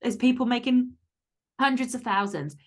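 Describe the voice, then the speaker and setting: A woman's voice: fairly high pitch, moderate pace. A woman podcast guest giving conversational advice in a blunt, emphatic, sweary way.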